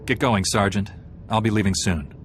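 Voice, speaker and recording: Low, composed warrior hero played by a man. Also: soft background music, natural voice. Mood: calm, commanding. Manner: measured, dry, wry. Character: composed, dryly witty heroic male Jedi warrior. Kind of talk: video game voice acting.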